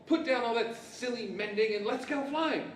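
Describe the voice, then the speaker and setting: A man acts a cocky boy adventurer in a live radio play. The voice is extremely high, brash and bossy, giving orders. It echoes through a hall, some way from the microphone. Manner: brash, bossy, hurried commands. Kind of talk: 1940s radio-theater acting. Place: large library hall, live stage performance. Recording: echoey hall, distant mic, faint noise.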